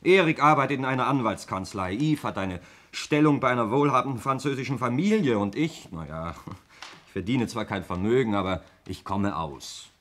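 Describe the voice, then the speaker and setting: An actor playing a glib, breezy stepson under suspicion in a 1960s German radio play. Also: male voice, medium pitch, animated, moderate pace, fairly bright tone, close to an everyday voice. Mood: indignant, scoffing. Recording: 1960s radio recording, hiss and clicks.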